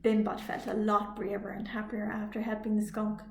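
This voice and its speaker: A woman reading a children's story aloud as a librarian, with expressive storytime narration. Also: mid-range voice, moderate pace, vocal fry. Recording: echoey room, faint noise.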